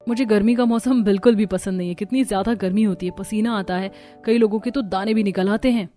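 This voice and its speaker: A woman voicing a young newlywed daughter-in-law, polite and expressive, in a cartoon story. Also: mid-range voice, fast talker, faint music behind, voice pushed down, lightly acted. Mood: uneasy, complaining. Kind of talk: Hindi cartoon story voice acting.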